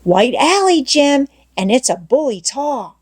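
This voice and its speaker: A woman's voice: high-pitched, sing-song, measured pace, a little mellow, breathy edge, voice pushed up, full character voice. A woman voicing an eager, scheming young boy from a storybook, with a coaxing, breezy, folksy way of talking. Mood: tempting.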